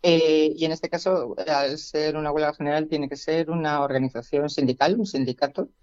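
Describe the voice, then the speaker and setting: A union representative speaking as a radio guest, measured and explanatory. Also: mid-range voice, steady tone, a little mellow, dry recording, muffled recording. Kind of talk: conversational radio interview.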